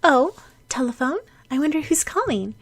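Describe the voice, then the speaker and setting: A woman's voice: high-pitched, sing-song, moderate pace, voice pushed up. A woman voicing a sweet, helpful schoolgirl with a soothing, innocently teasing manner in an audiobook story. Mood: polite.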